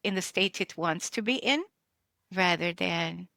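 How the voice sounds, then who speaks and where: A woman's voice: fairly low pitch, measured pace, gravelly, sharp tone, no echo, clean studio sound. A middle-aged woman, an author and educator, talking casually with many fillers and restarts. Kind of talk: casual conversation.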